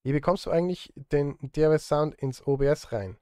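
A man's voice: on the high side, brisk, mellow, dry recording. Casual man streaming from a dry-sounding desk. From Switzerland. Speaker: live streamer and film composer. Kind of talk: casual live-stream chat while working. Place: indoor desk at a streaming setup.

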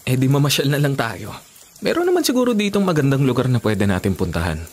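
Animated, easygoing young boyfriend. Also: male voice, medium pitch, fast talker. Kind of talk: Filipino radio drama acting.

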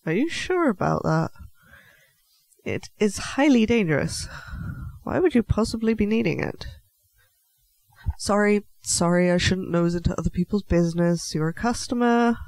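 A man's voice: sits high, lively intonation, moderate pace, gravelly, long pauses, a little mellow, no room sound. A man playing a clumsy, nervous half-elf alchemist shopkeeper in a fantasy audio roleplay, stammering, apologetic and politely chatty. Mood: scattered, helpful. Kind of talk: scripted fantasy audio roleplay addressed to the listener.

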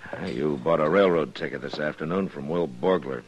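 An actor playing a steady frontier marshal in a 1950s radio drama, asking calm, probing questions in a husky voice. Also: male voice, very deep voice, a little fast, muffled recording, heavily acted. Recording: old radio recording with faint music.

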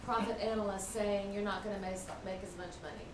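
A soft-spoken woman sharing her table's thoughts in a casual group discussion, somewhat hesitant. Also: mid-range voice, steady tone, fairly quick. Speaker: attendee reporting for her table. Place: large meeting room with round tables. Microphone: room camera mic. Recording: roomy, from far off, noisy.

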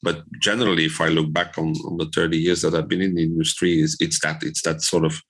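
A man's voice: a bass voice, little pitch movement, fast, a bit bright. A man in his fifties from Belgium answering in a conversational podcast interview, rephrasing as he goes. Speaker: interview guest, trade association director.

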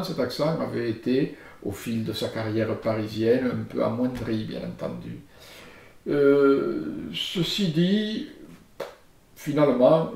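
An older man with a southern French accent, an archaeologist speaking thoughtfully in a filmed interview in his book-lined study, gesturing and pausing with hesitations. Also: mid-range voice, vocal fry, a little mellow. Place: study lined with bookshelves, indoors. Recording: roomy study sound.

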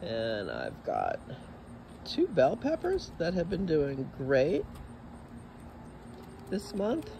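A man with a Texan accent giving a relaxed, chatty tour of his backyard garden, filmed on a phone as he walks. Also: extremely slow, long pauses. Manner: relaxed, chatty, lively rises in pitch. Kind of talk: casual walking garden tour. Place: outdoors in a suburban backyard garden. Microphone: phone camera held while walking. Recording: outdoor phone audio with clear background noise.

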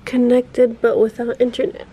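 A woman's voice: a little high, flat delivery, moderate pace, warm tone, breathy edge. A woman vlogging casually at home, reading out setup steps from an app as she chats with her child. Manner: reads out app setup steps in a light, chatty way. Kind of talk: casual chat. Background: background music.

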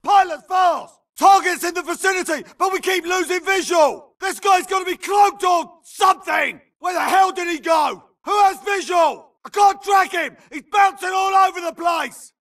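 An actor playing an urgent infantry grunt in a video game, barking clipped combat callouts. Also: male voice, very high-pitched, normal speed, hardly any pauses, a bit bright, raised voice, far from the mic. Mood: urgent alarm. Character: gruff, urgent infantry grunt soldier in battle. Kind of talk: shouted combat barks.

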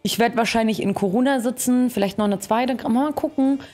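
A woman's voice: fairly high pitch, brisk, dark tone. A young woman streaming a game, chatting casually in colloquial language with youthful slang. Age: young adult. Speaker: gaming streamer. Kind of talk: casual gameplay commentary and chat. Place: indoor streaming setup. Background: game music.

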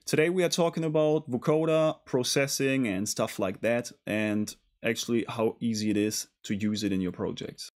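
A man hosting a casual music production tutorial in a studio, talking in a hesitant, halting way. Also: mid-range voice. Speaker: tutorial host.

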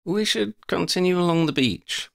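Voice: mid-range voice, normal speed, creaky, a bit bright, pitched above the performer's own voice. A man voicing an earnest, decisive woman who leads a band of questing companions in a storybook, polite and direct.

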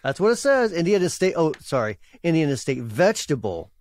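A man co-hosting a casual podcast, wry and quick with jokes. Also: a little high, very animated, darkish tone, no room sound. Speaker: podcast co-host. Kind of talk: casual chat.

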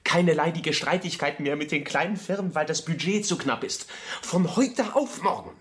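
An actor playing an eager, devoted young architect in a radio play, speaking earnestly and insistently and repeating himself. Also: male voice, on the high side, lively intonation, normal speed, fairly bright tone. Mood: excited, hopeful. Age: young adult. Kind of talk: German radio play acting.

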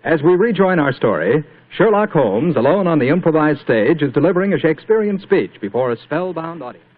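A man's voice: a little high, sing-song, a little fast, phone-quality sound. An American man announcing on 1940s radio, with a folksy salesman's manner. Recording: vintage 1940s radio broadcast.

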